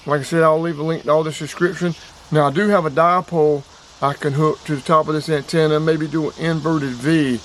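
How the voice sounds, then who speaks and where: A man giving a casual, plainspoken vlog demonstration outdoors, with emphatic repetition. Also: fairly high pitch. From North Carolina, US. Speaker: outdoors and radio hobby vlogger. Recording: clear background ambient noise.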